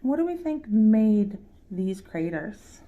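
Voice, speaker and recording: A woman's voice: medium pitch, big pitch swings, narrow, tinny audio. A woman reading a children's picture book aloud as a storytime reader, addressing young listeners directly. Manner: pauses to ask young listeners questions.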